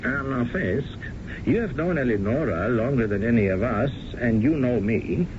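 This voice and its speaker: An actor playing a fussing, theatrical stage director in a 1970s radio drama, doting and given to chuckles. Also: male voice, mid-range voice, animated, normal speed, close to an everyday voice. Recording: muffled old radio broadcast with hiss.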